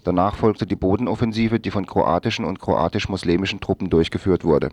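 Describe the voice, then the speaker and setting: A man's voice: low voice, a little fast, darkish tone, no room sound. A man reading a scripted news report on the radio.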